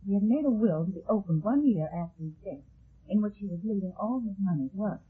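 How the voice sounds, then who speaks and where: An anxious young heiress in a vintage radio mystery. Her voice is husky and melodramatic, catching and stammering as she talks. The old 1950s recording is thin and narrow. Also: medium pitch, animated, fast. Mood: earnest, uneasy. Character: anxious young heiress suspected of murder, pleading. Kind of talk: 1950s radio detective drama acting.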